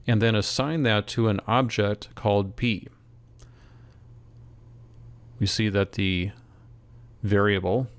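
A man narrating a software tutorial as an instructor, in a measured, step-by-step way. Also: fairly low pitch, no echo. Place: close, dry voice-over for screen recording.

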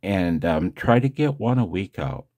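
A man's voice: sits low, big pitch swings, measured pace, warm tone. A man talking casually and self-deprecatingly to his viewers in a rambling monologue, as host of a video channel. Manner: self-deprecating, chuckles often.

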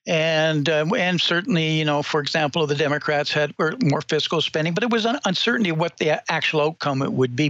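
A man's voice: on the high side, a bit bright, no echo, dull audio. An older man, a guest economic analyst, answering interview questions in a rambling way with many restarts and repeated words.

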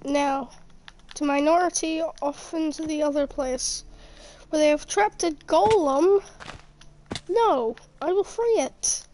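A woman with a croaky voice from being sick, casually commenting on her gameplay, muttering to herself and mock-frustrated. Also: sits high, slow, a little mellow, a little hiss or hum, rough recording. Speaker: gaming youtuber.

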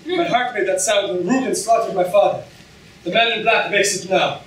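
A man's voice: sits high, normal speed, fairly bright tone, a little hiss or hum. An actor playing an earnest, passionate swordsman out for revenge in a staged reading. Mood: grave, intense. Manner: earnest, dramatic. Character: passionate male swordsman seeking to avenge his father. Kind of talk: staged reading before an audience. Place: lecture hall stage, distant camera. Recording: echoey hall recorded from far off.

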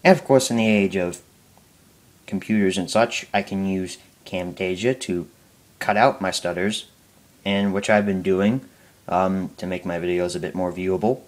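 Low-voiced young man who stutters. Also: steady tone. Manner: stutters, halting with many fillers and restarts. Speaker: YouTuber answering viewer questions. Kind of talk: casual Q&A talk to camera.

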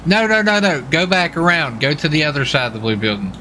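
American guy chatting on a game, kinda high bright voice, folksy, some background noise. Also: sing-song, fast talker. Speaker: streamer playing a police officer in online roleplay. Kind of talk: casual in-game radio chatter.

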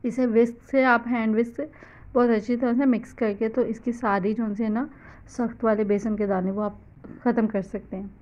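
A woman's voice: a little high, flat delivery, normal speed, a little mellow, dull audio. Chatty Pakistani woman, kitchen voice-over. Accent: Pakistani Urdu. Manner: chatty, loose and explanatory, mixing in English words. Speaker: cooking vlogger. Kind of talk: casual cooking voice-over. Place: home kitchen.

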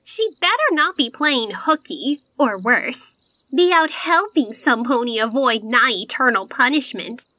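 A woman's voice: sits high, expressive, unhurried, sharp tone, slight background noise, exaggerated voice. A woman voicing a vain, theatrical young female unicorn magician in a cartoon dub, haughty and melodramatic. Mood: indignant. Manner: haughty, melodramatic, speaks of self in third person. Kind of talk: cartoon comic dub acting.

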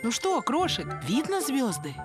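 A woman's voice: high voice, wildly swooping pitch, unhurried, over loud music, full character voice. A woman playing a kindly grown-up narrator of a preschool cartoon, encouraging and explaining things to small children. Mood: kindly, teaching. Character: kindly grown-up female narrator guiding toddlers.

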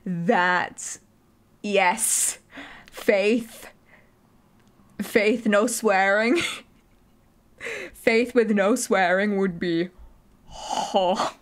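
A young woman from the north of England with a softened northern accent, chatting casually and giggling as a streamer while she plays and reads chat aloud. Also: medium pitch, animated, extremely slow, long pauses. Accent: Northern English, softened. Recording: game music behind the voice.

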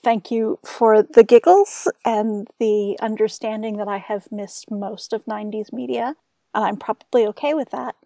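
A woman's voice: on the high side, vocal fry, warm tone, no echo. A woman hosting a radio interview show, asking questions and addressing the audience. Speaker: radio host.

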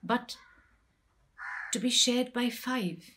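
A middle-aged woman voicing a wronged, bitter and sorrowful mythical queen in a measured, deliberate dramatic poetry recitation with hushed phrases. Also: on the high side, slight background noise, heavily acted. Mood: solemn, bitter. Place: small plain room indoors.

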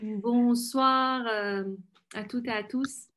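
A woman from Iran, a poet, introduces herself hesitantly with many pauses on a video call from a room at home. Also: fairly high pitch, steady tone, fairly bright tone, slight background noise. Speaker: poet and visual artist. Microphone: laptop webcam, video call.

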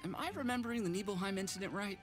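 A man's voice: high voice, racing, over music, lightly acted. A man voicing an awkward, sarcastic and slightly dim young male mercenary in a comedic game parody. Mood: awkward, earnest.

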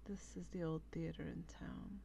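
A woman playing a teasing, wistful female vampire in a breathy, deliberate ASMR role play. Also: low-pitched, mellow, a little hiss or hum. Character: female vampire, teasing, wistful, intimately affectionate theater haunter.

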